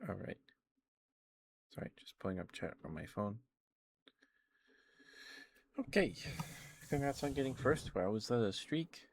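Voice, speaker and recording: Slow, low-voiced man chatting softly on stream. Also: animated, creaky. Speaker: streamer, host of the show. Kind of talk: ASMR livestream, casual chat with viewers.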